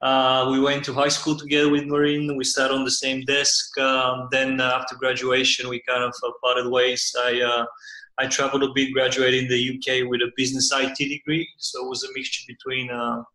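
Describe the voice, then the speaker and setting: A young man from Bulgaria answering interview questions, telling his story in a relaxed, hesitant way. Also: mid-range voice, fairly quick, reverberant, rough recording. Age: young adult. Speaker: guest, startup cofounder.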